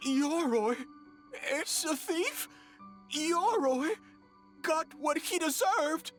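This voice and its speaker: A woman's voice: sits high, expressive, drawn-out words, faint music behind, heavily acted. A woman voicing a dramatic, childlike little tanuki yokai in a video game, exclaiming melodramatically.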